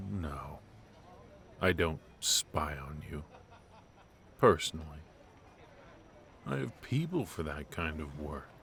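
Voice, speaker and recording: A male voice actor plays a ruthless, suave mafia boss in an intimate noir roleplay. His voice sits very low and he takes his time, leaving pauses between short phrases. He is smooth-talking, teasing and menacing. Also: exaggerated voice.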